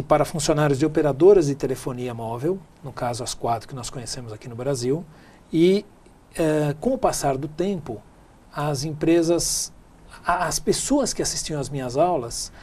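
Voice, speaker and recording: Middle-aged man, São Paulo Brazilian accent, slightly high voice, conversational storyteller in an interview seat. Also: moderate pace, a little mellow. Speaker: interview guest, tech speaker and businessman.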